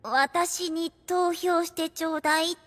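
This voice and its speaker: Very high, bright tomboy girl. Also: steady tone, a little slow, in a large hall. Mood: hesitant, casual. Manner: plain, casual, hesitant protests. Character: young tomboy girl guardian, blunt and reluctant. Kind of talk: anime audio drama acting.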